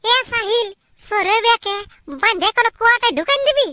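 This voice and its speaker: A scheming, scornful young wife in a homemade cartoon. Her filtered voice is extremely high and piercingly bright. She nags bluntly in a Purulia Bengali accent. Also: fairly quick, dry recording. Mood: conspiratorial, discontented. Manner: blunt, nagging. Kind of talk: amateur cartoon dialogue.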